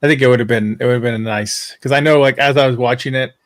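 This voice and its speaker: A man's voice: mid-range voice, fairly even intonation, fast talker, dry recording, clean studio sound. A man talking casually as a fan panelist, rambling and thinking aloud with some hesitation.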